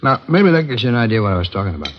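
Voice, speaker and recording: A man's voice: lowish voice, sing-song, rapid-fire. An American man acting a casual, remorseless drifter who confesses to killings in a 1950s radio drama, talking in an offhand, rambling way on a dull, noisy old recording. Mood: casual, matter-of-fact. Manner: offhand, matter-of-fact, rambling.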